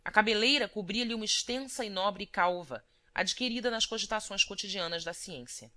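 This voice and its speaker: A woman reading a literary audiobook as narrator, in a formal, expressive manner. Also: medium pitch, moderate pace.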